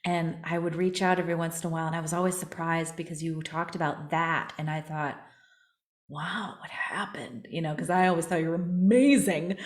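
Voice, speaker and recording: A woman's voice: low-pitched, echoey room. A woman hosting an interview as a vocal coach, asking encouraging questions in casual chat.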